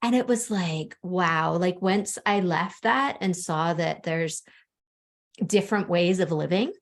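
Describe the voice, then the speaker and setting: A woman from the Bahamas speaks in a fairly low voice, open and earnest as an interview guest. She fills her sentences with "like" and "you know", reflecting in a candid and personal way. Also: takes it slowly. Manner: open, earnest, frequent "like" fillers. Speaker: guest, spiritual coach.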